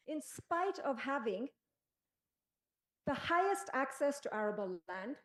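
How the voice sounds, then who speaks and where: A woman with a Kenyan accent speaks on a conference panel, her voice sitting a little high. She talks in a measured way and leans hard on key phrases. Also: darkish tone. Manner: measured, emphatic delivery. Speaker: panelist and special advisor. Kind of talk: formal panel speech.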